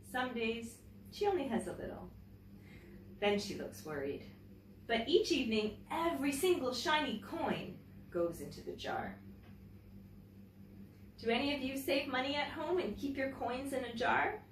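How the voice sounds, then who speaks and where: Roomy, expressive woman reading to children. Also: high voice. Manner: expressive, deliberate phrasing, addressing young listeners. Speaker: teacher reading picture books to children. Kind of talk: read-aloud storytelling for children with chatty asides. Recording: roomy, distant mic, faint background noise.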